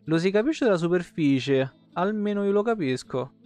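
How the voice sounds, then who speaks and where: Higher-voiced man reading over guitar music. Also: normal speed. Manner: expressive reading, breaking off for informal comments. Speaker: game streamer reading lore aloud. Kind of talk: reading game codex text aloud with casual asides. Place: small indoor room, streaming setup. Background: plucked guitar music.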